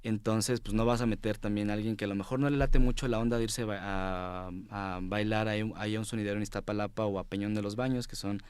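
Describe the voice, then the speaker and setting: A man with a fairly low, clean voice and a Mexico City accent. He talks casually as an interview guest, circling and pausing as he searches for the right word. The sound is close and dry. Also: steady tone, darkish tone, clean tone, dry recording. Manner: hesitant, groping for words, informal. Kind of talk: casual studio conversation. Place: TV studio.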